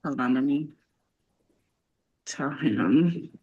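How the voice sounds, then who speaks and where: A woman's voice: very deep voice, lively intonation, very slow, breathy edge, phone-quality sound. A woman hosting a casual live-stream interview over a video call, chatty and talking through connection problems aloud. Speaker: livestream host.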